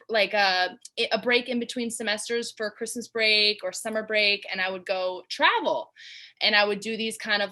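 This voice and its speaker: A woman's voice: mid-range voice, pushed and bright. A young woman from Georgia, a guest in a casual interview, talking in a bubbly, chatty way with lots of fillers. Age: young adult.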